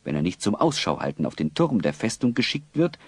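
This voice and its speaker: A man narrating a children's audio drama as a storyteller, wry and expressive. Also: on the low side, a little fast, breathy edge, no room sound.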